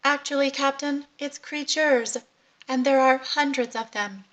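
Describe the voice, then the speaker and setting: A woman's voice: high voice, a little slow, a bit bright, low-quality recording. A woman playing a precise, helpful ship's computer assistant, formal and informative, in a sci-fi audio comedy. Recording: clear background noise with clicks.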